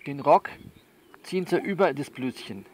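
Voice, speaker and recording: A man playing a soothing but bossy confidant who coaches someone by phone in an audio drama, halting and repeating himself as he instructs. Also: on the high side, expressive. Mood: bossy, coaxing. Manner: halting, repetitive, instructive, reassuring. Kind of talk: one-sided phone conversation in an audio novel.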